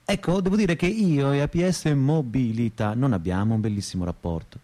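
A man's voice: medium pitch, fairly quick, mellow, lightly acted. An actor playing a frustrated, self-mocking perpetual student in his thirties in a theatrical, rhetorical radio monologue. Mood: wry grumbling. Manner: rhetorical, repetitive, shifting between lofty lyricism and crude bluntness.

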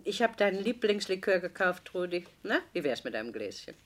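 A woman playing a brisk, unsentimental, practical accomplice in a radio play, with a commanding, matter-of-fact manner. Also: lowish voice, very animated. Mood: businesslike. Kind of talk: German radio play acting.

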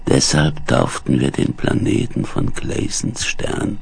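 An actor playing a dying, delirious space explorer in a radio play, halting and weak, with breath sinking into whispers. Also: male voice, very deep voice, big pitch swings, vocal fry, a little mellow, exaggerated voice. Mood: feverish, wistful. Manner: slow, halting, laboured delivery. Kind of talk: 1970s German radio play acting, dramatic monologue. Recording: hissy, humming 1970s vinyl recording.

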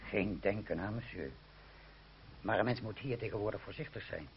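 An actor playing a deferential, cautious waiter in a radio drama, obsequious and gossipy, given to grumbling. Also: male voice, fairly low pitch, moderate pace, gravelly, husky, heavily acted. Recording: muffled old radio recording with hiss.

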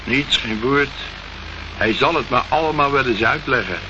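A man's voice: medium pitch, normal speed, lightly acted. An actor playing an uneasy, exasperated male client in a Dutch radio play, answering in short phrases. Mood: resigned. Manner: short answers, startled questions. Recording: noisy, muffled old radio recording.

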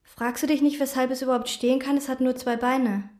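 A woman playing a brooding, obsessive chambermaid in a radio play, narrating and talking in a quiet, probing, musing way. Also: high voice, flat delivery, brisk, roomy sound, natural voice.

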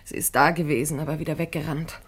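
A woman's voice: low voice, steady tone, quick pace, slightly rough. An actress playing a distraught, restless mother in a radio play. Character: distraught, restless mother searching for her daughter. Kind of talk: German radio play acting.

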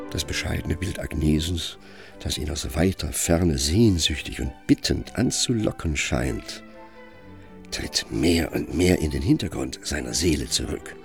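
Male narrator for literary drama: very low, creaky voice, grave measured reading, music underneath.

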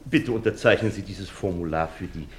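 An actor playing a fussy, obsequious insurance agent in a German radio crime play. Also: male voice, low-pitched, fairly quick, noisy background, close to an everyday voice. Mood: fussy, deferential. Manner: fussy, deferential.

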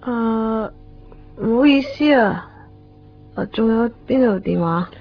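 A slightly dull nineties radio drama recording with faint music behind. a wistful young Hong Kong Cantonese cleaning girl, timid and apologetic, in a fairly high voice. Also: animated, slow pace, full character voice.

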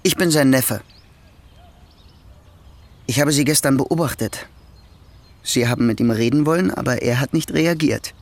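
This is a resentful young man in a radio play, voiced by an actor, grumbling about family, money and a dull future. His delivery is sullen and brooding, with a voice of ordinary pitch. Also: normal speed, long pauses, lightly acted. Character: bitter young male nephew, indebted and resentful.

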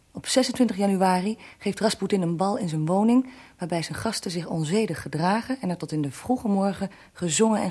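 Casting: woman playing sceptical interviewer for a radio drama, slightly rough-edged mid-range voice, inquisitive and businesslike. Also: medium pitch, warm tone, close to an everyday voice. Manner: inquisitive, matter-of-fact. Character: probing, sceptical female radio reporter interviewing historical figures. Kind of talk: radio drama acting as reportage.

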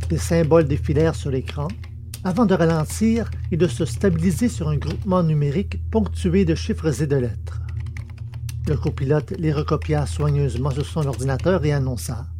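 Mellow woman narrating a science fiction drama. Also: sits low, moderate pace.

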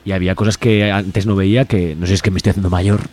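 A man's voice: deep voice, fairly even intonation, fast talker, a little mellow. A man hosting a radio interview in an informal, jokey way, often checking in with question tags. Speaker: radio show host.

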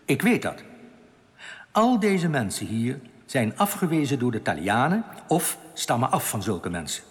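An actor playing a male alien outcast leader, reassuring, earnest and quietly bitter, explaining things deliberately in a radio drama. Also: medium pitch, big pitch swings, natural voice. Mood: solemn, bitter. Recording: long cavernous reverb.

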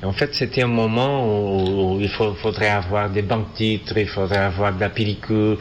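A man from Portugal speaking with a Portuguese accent as an interview guest, halting and searching for words in a non-native language. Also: on the low side, steady tone, lo-fi sound. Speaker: interview guest, animation filmmaker. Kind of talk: casual interview answers. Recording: festival interview with background noise.

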